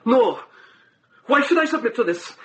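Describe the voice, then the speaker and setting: A man's voice: extremely high pitch, sing-song, normal speed, fairly bright tone, exaggerated voice. An actor playing a hot-tempered young man accused of murder in a radio drama, indignant and defensive. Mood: panicked, defiant.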